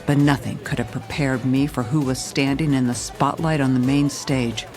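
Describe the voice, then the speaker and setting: A woman's voice: rumbling low, fairly even intonation, normal speed, a little mellow, soft background music. A woman playing a tough, seasoned police commissioner in a noir audio drama, terse, world-weary and commanding. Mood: brooding. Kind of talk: noir scripted narration and drama.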